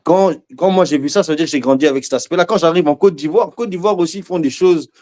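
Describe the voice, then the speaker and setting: A man's voice: a little high, fast talker, mellow. A man in a casual discussion, speaking hesitantly and often repeating words while he searches for them.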